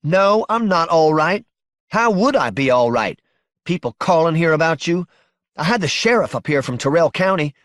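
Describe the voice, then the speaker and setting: A man's voice: on the high side, lively intonation, moderate pace, dry recording, clean studio sound, pitched above the performer's own voice, close to an everyday voice. A man voicing an anxious, fretful young wife in an audiobook, with plaintive, folksy rural speech. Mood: upset, worried. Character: anxious, wounded-hearted young Southern wife, pleading and fretful.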